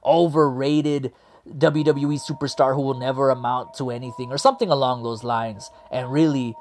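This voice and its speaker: An excited man, rambling through a wrestling recap and losing his voice as he goes. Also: mid-range voice, normal speed, a little hiss or hum. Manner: hyped, rambling, heavy on "man" and "like". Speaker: wrestling show host. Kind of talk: excited casual commentary.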